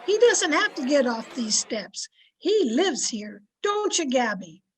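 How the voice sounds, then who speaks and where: A woman playing a sensible, chipper small-town wife in old-time radio comedy, polite and gently chiding. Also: sits high, very animated, takes it slowly, some chatter behind, a little hiss or hum, full character voice. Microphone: video call microphone.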